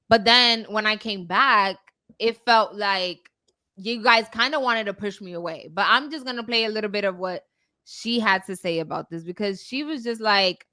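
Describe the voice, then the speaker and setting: A woman's voice: medium pitch, sharp tone. A woman casually recapping celebrity news as a host, often checking for agreement. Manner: conversational, often seeking agreement with "right?". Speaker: talk show host.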